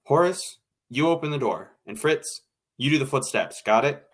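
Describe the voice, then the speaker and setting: A man's voice: mid-range voice, animated, normal speed, slightly rough. A man playing an impatient radio director in a 1940s-style drama, brusque, slangy and commanding. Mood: impatient, bossy.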